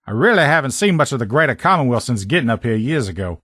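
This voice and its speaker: A man's voice: mid-range voice, very animated, fast talker, bright, forceful tone, no echo, clean studio sound. A man playing a brash sheriff's lieutenant and proud armor mechanic in a video game, blunt, sardonic and salty-tongued. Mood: matter-of-fact. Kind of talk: video game character dialogue.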